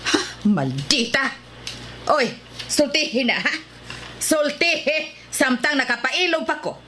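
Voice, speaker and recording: A woman's voice: sits high, expressive, slow pace, sharp tone, full character voice. A woman playing a scolding, suspicious mother in a radio drama, stern and nagging. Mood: irritated, threatening. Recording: slightly noisy radio drama recording.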